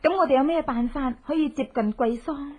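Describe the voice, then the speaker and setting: An actress with a Hong Kong Cantonese accent plays a determined, desperate widow bent on revenge in an intense, melodramatic horror radio drama. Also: female voice, high voice, moderate pace, lightly acted. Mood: urgent, eager. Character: vengeful widow in hiding, determined, desperate, posing as beggar. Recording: muffled lo-fi radio drama recording.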